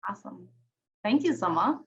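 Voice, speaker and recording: A woman's voice: medium pitch, very animated, moderate pace, lo-fi sound, noisy background. A woman facilitating an online youth check-in, affectionate and encouraging, praising each young speaker in turn. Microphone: video call.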